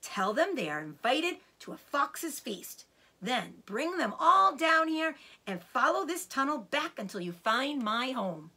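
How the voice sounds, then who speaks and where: Woman doing male fox, clever, genial, commanding, storybook, slightly raspy, very animated. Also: high-pitched, measured pace, pitched above the performer's own voice, exaggerated voice. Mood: confident. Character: clever, confident father fox, genial and sly. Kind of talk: children's storybook character voice.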